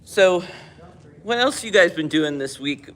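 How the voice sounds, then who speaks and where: An older woman hosting a casual livestream chat in a library, chatty and self-mocking, quick to laugh at herself. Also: on the low side, expressive, a little hiss or hum. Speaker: livestream host. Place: public library.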